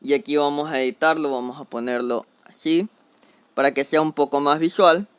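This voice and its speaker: A man's voice: on the high side, normal speed, fairly bright tone, clean tone, no room sound. A young man explaining a coding tutorial step by step, talking through each action as he does it on screen. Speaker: programming tutor.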